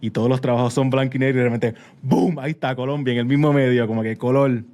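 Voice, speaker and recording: A man hosting a casual interview podcast, telling things in an animated way and mixing in English words. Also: mid-range voice, fast. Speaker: podcast host.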